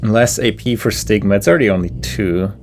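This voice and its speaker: Low-voiced man musing over a skill tree. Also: fairly quick, a little hiss or hum. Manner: musing, weighing options aloud with fillers. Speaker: gameplay commentator. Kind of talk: thinking aloud while playing.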